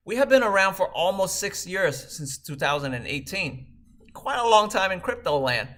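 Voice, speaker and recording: A man in his thirties, originally from Vietnam, delivering a measured, scripted video message as a company co-founder. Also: sits high, fairly bright tone. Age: 30s. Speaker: startup co-founder and CEO.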